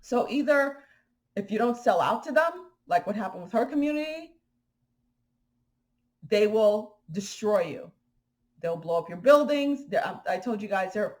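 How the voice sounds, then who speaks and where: A woman from Haiti talks straight to her viewers, animated and indignant. Her voice sits at a middle pitch, and her casual delivery is full of fillers and restarts. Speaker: spiritual vlogger. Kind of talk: casual spoken commentary to viewers.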